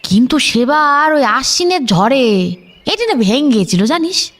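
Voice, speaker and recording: A woman's voice: high voice, big pitch swings, a little slow, fairly bright tone, full character voice. A woman playing an elderly village grandmother in an audio drama, chatty and chiding, full of rhetorical questions. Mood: puzzled, recalling. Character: scolding, reminiscing elderly grandmother of a village household. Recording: music behind the voice.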